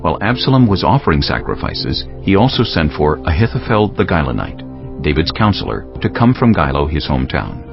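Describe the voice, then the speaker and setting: A man with a very low, creaky voice narrates a dramatized Bible with solemn weight and wide melodic swings. His delivery is measured and scripted, with music underneath. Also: big pitch swings. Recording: dull lo-fi audio with background music.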